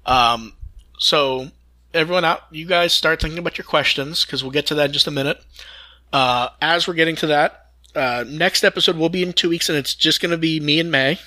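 A man's voice: a little high, a little fast, pushed and bright. A man hosting a fan podcast, talking casually in informal discussion. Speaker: podcast host.

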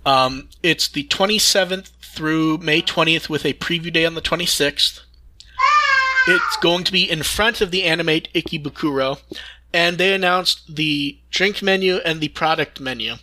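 An American man from California hosting a casual podcast, animated and casually profane. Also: on the high side, normal speed, very noisy. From California, United States. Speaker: podcast host.

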